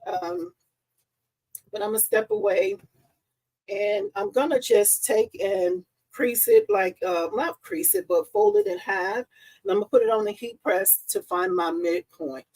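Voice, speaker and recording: A woman hosting a live crafting stream from her home craft room, chatty and upbeat, slightly hoarse because she is losing her voice. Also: mid-range voice, big pitch swings, measured pace, a little mellow, no room sound, poor audio. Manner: chatty and upbeat, greets viewers by name. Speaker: host and embroidery business owner. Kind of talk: casual live-stream chat. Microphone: live-stream setup.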